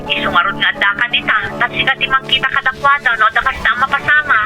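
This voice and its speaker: A woman's voice: high-pitched, fast, music in the background, natural voice. A woman with a Filipino accent playing an adult elder sister, emotional and reluctant, in a radio drama style. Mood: defensive, reluctant. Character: adult elder sister working abroad, reluctant then apologetic. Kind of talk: Ilocano radio drama acting.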